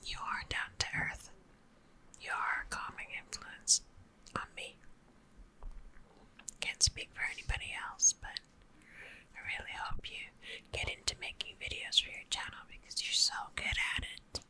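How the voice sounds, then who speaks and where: A woman's voice: unhurried, pauses often, bright, forceful tone. A woman rambling in a chatty, close-mic whisper, talking to a friend in an ASMR video. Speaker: ASMR creator.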